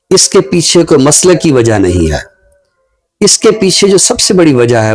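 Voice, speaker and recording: A man giving thoughtful, earnest commentary on a talk show in a measured, phrase-by-phrase way. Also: mid-range voice, darkish tone.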